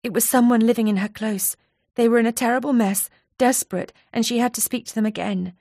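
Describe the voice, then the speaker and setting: A woman's voice: on the high side, steady tone, fairly quick, darkish tone, dry recording, studio quality, pitched above the performer's own voice. A woman voicing a nervous, chatty female office worker who confides eagerly and a little breathlessly. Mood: confiding.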